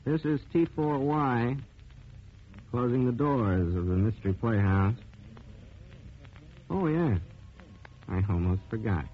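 Casting: man as late-night mystery playhouse announcer, very measured pace, vintage narrow-band radio. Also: medium pitch, expressive. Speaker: radio program host and announcer. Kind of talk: 1940s radio sign-off announcing. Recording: tinny 1940s radio, faint music.

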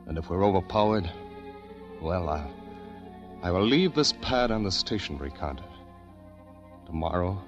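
An actor playing a doomed, sensitive writer reading out a last desperate entry in grave, halting 1940s radio style. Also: male voice, sits low, lo-fi sound. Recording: vintage 1940s radio recording, music behind.

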